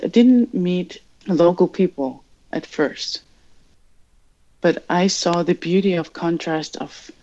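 Slow-speaking Greenlandic woman, reflective. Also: deep voice, dark tone, muffled recording. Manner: halting, searching for words. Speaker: interview guest. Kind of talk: reflective personal interview.

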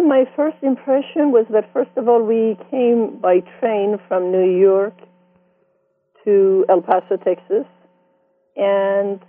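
A woman in her sixties from Iran with a Persian accent, telling her life story in an interview with reflective, gently amused warmth. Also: medium pitch, dry recording. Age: 60s. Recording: dry tinny phone-like sound.

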